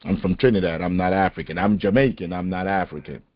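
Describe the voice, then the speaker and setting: A man hosting a podcast, talking casually and with animated emphasis. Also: sits low, fast. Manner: animated, conversational, rising to exasperated emphasis. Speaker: podcast host. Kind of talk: casual discussion on a call-in podcast. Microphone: phone or conference call. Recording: dull lo-fi call audio.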